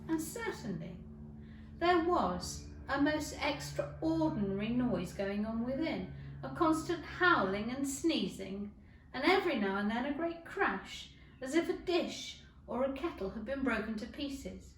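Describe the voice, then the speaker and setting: A woman narrates a storybook expressively. Her fairly high voice moves with lots of lift and fall at a measured pace. She sounds set back from the mic in an echoey room. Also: animated, a little hiss or hum. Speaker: teacher reading to schoolchildren. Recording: roomy echo, distant mic.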